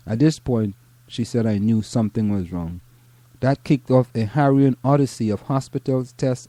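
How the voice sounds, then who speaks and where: A middle-aged man from Trinidad and Tobago with a Trinidadian accent, co-hosting a casual talk show, reading a news article aloud and then chatting, often halting and repeating words. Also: medium pitch, warm tone, dry recording. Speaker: podcast co-host.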